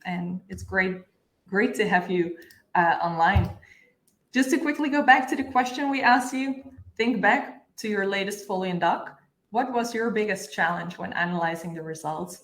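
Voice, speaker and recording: A woman in her thirties hosting an online webinar with an informal introduction over a video call. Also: medium pitch. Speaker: webinar host, customer education manager. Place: indoor room, on a video call. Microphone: video call microphone. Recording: roomy video call sound.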